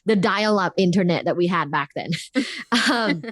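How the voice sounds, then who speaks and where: A woman's voice: fairly low pitch, moderate pace, no room sound. A woman from Thailand in her thirties, a podcast guest speaking candidly with frequent laughs and fillers. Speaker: guest, professor. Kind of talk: casual interview talk.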